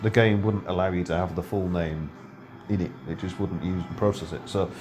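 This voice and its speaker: A man's voice: sits low, fairly even intonation, brisk, darkish tone. A middle-aged man giving casual, rambling gameplay commentary, full of ums and self-corrections. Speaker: game video creator.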